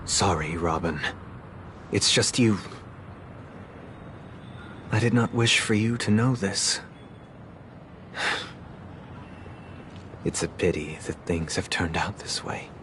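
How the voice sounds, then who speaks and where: An actor playing a calm, persuasive young male leader who speaks in a measured, formal, gently condescending way. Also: deep voice, noisy background. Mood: regretful. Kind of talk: video game voice acting. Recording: game cutscene with loud score. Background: orchestral music.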